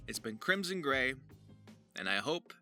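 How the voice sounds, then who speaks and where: Bright, crisp-edged man with creaky voice. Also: mid-range voice, very animated, a little slow, faint music behind. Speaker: voice actor and video host. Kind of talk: informal tutorial narration to viewers.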